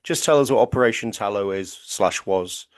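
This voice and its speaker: A man's voice: lowish voice, moderate pace. A man acting as interviewer, breaking in with brief questions.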